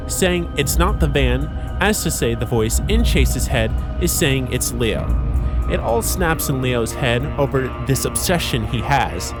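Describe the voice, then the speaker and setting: Man recapping a story over music. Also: mid-range voice. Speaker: video creator recapping a visual novel. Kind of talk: narrated story recap with casual commentary. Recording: music under the voice. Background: background music.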